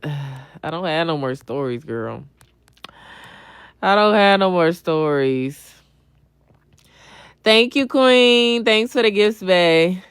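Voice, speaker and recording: A woman's voice: low-pitched, clean tone, no echo, clean studio sound. A young adult woman chatting casually on a livestream as she answers viewers, blunt, slangy and full of swearing, often repeating a phrase for emphasis. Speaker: livestream host.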